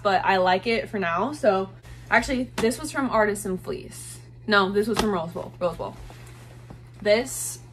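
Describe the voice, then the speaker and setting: A woman's voice: medium pitch, a little hiss or hum. A woman in her twenties talking casually to camera in a small bedroom, gushing about thrifted clothes with lots of "like" fillers. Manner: chatty, gushing, many "like" fillers. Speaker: fashion vlogger. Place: small bedroom with bed, indoors.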